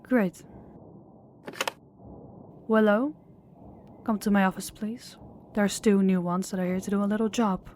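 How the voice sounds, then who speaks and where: A woman's voice: mid-range voice, fairly even intonation, unhurried, long pauses, darkish tone, a little hiss or hum, natural voice. A woman playing a sadistic female crime boss in an audio roleplay, speaking in a formal, controlled and menacing way. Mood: cool, businesslike.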